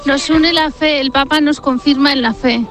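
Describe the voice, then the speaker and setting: High-voiced female interviewee, casual. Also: steady tone, moderate pace, fairly bright tone, faint music behind. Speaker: pilgrim being interviewed. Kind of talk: casual unscripted interview answers. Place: outdoors in a meadow.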